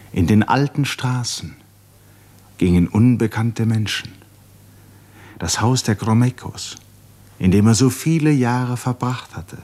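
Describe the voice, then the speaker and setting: A male narrator with a very low voice reads scripted literary prose. He tells the story of a radio play. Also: a little mellow.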